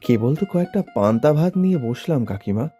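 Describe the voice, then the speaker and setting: A man's voice: medium pitch, animated, fast talker, dark tone, close to an everyday voice. A man voicing a timid, obedient young orphan nephew in a Bengali cartoon. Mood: meek, pleading. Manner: timid, deferential. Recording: plucked-string music behind the voice.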